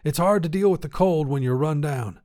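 Man voicing a folksy, stubborn old grandfather, pitched up. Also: moderate pace, a little mellow, lightly acted. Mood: easygoing. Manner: folksy, clipped, insistent. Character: gruff, stubborn old grandfather, tinkering inventor.

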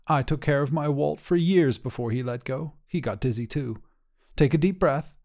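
A man voicing a kindly, grieving elderly woman in a short story, gently and consolingly. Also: on the high side, moderate pace, voice pushed up, natural voice. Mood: gentle, reassuring. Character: kindly, grieving old widow, gentle and intent. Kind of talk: audio short story character voice.

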